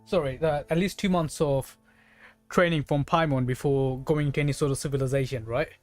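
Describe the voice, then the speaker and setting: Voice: medium pitch, steady tone, brisk. A game streamer giving casual live commentary, thinking aloud and speculating in a musing way. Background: game music.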